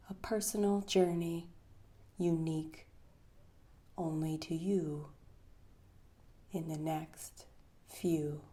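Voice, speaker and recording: A woman leading a guided meditation with poetry readings, speaking soothingly with long pauses and a breathy trail-off at the ends of phrases. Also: on the low side, warm tone.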